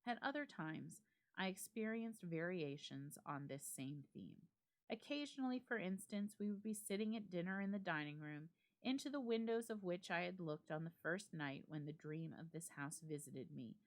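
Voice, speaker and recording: A woman giving a dramatic reading of a classic ghost story, with measured, expressive phrasing and deliberate pauses. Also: lowish voice. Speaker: library staff member reading a story aloud.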